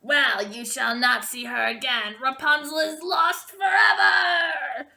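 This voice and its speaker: A wicked storybook sorceress acted out by a woman reader, shrieking with betrayed fury. Her voice is bright and forceful, stretching out each cruel accusation. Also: high voice, fairly even intonation, vocal fry, voice pushed up, exaggerated voice. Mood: gloating fury. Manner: shrieking, drawn-out accusing delivery. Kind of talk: storybook read-aloud character voice.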